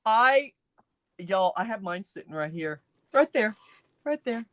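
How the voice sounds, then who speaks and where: A woman's voice: mid-range voice, a little slow, no echo. A woman in her late fifties hosting a craft live stream, teasing her co-host and talking straight to viewers as she reads their comments. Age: late 50s. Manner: lively and teasing, addresses viewers directly.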